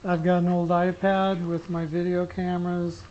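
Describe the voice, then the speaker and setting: A middle-aged hobbyist giving a casual, unscripted gear demonstration, rambling and often pausing mid-sentence. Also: mid-range voice, little pitch movement, darkish tone, dull audio. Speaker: amateur radio hobbyist giving a gear demo. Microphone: small camera pinned to t-shirt. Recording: noisy clip-on camera sound with clicks.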